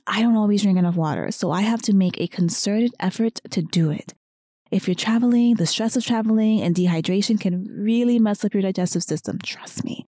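A woman hosting a podcast speaks gently and encouragingly, her voice a bit strained because she is losing it. Her tone is warm and mellow. The recording is close and dry. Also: medium pitch, dry recording. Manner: softer than usual, encouraging. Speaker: podcast host and weight loss coach. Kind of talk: solo podcast talk.

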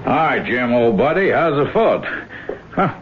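A man's voice: mid-range voice, very animated, unhurried. An actor playing a folksy outdoorsman, somebody's old buddy, in a radio drama. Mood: breezy, jovial. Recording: tinny old radio broadcast.

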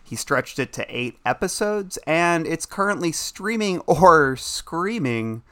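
A man's voice: fairly high pitch, lively intonation, slow, bright, forceful tone. A man co-hosting a podcast, introducing the episode and asking questions in an easygoing way. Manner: easygoing, conversational. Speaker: podcast co-host.

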